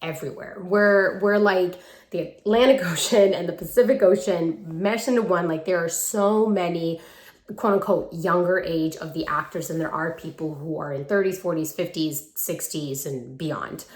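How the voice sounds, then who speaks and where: A woman in her twenties speaks to her viewers in a chatty, emphatic style, with a slightly low voice for a woman. She sounds like a casual vlogger giving advice. The phone recording has a clear roomy echo. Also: normal speed. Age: 20s. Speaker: vlogger and acting coach. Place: room at parents' home, brick wall. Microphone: handheld phone. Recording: roomy echo from a hard-walled room.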